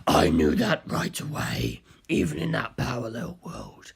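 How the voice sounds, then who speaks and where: An aloof, all-knowing superhuman man. The voice drops very low and heavy. Words come slowly and deliberately, with cool dismissiveness. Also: huge pitch swings, creaky, husky, heavily acted. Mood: calm, knowing. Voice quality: very deep, heavy voice.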